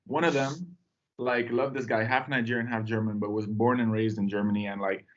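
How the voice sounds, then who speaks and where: A young man from Tanzania, a podcast guest, telling his story in a relaxed, rambling way with lots of fillers. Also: medium pitch, a little fast, muffled recording. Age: young adult. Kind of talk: casual podcast chat.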